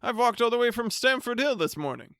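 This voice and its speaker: A man voicing a loud, hearty middle-aged visitor who repeats himself. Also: very high voice, lively intonation, fairly quick, no echo, clean studio sound, voice pushed up, heavily acted. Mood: boisterous.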